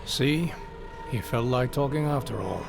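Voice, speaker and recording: A man's voice: mid-range voice, animated, unhurried, vocal fry. An actor playing a grim, curt male bounty hunter in a video game, terse and commanding with dry menace. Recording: loud music over the voice.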